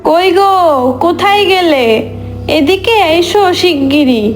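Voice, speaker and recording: A woman voicing a clever, bossy young wife in a cartoon, brisk and commanding. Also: extremely high pitch, soft background music. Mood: scheming. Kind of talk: cartoon voice acting.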